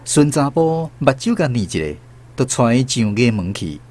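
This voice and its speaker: A man's voice: mid-range voice, animated, moderate pace, a little mellow. A man with a Taiwanese accent narrating a literary radio drama from a script. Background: plucked guitar music.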